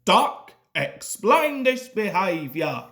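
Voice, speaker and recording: A bossy railway controller from a children's storybook, acted out by a woman. He booms out emphatic orders slowly and swings widely in pitch. He sounds stern and in command. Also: very animated, a bit bright, heavily acted. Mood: angry, thundering.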